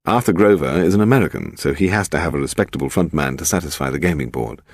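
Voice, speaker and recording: A man voicing an urbane, unflappable English gentleman detective in a crime story, teasing and assured. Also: rumbling low, fast, dry recording, studio quality.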